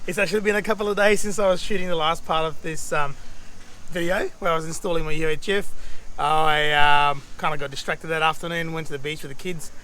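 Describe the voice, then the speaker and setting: A man's voice: fairly high pitch, steady tone, a little fast, sharp tone. A man giving a laid-back, self-deprecating hands-on DIY tutorial, chatting colloquially to his viewers. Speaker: vlogger presenting a DIY car install.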